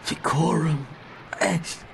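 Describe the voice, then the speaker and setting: An actor playing a frail great-grandfather of a hundred and four on his deathbed, halting and whispering weakly in an audio drama. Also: on the high side, a little hiss or hum, exaggerated voice. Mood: dying, feeble. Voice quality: weak, breathy, creaky whisper. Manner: halting, labouring over each word.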